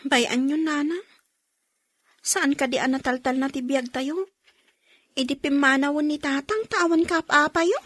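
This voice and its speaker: A dutiful eldest daughter in a radio drama, acted by a woman. Her voice is strikingly high and girlish, recorded very dry. She speaks earnestly, often pleading like a peacemaker. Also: measured pace, long pauses, a bit bright, no echo. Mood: gentle, concerned. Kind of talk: radio drama acting in Ilocano.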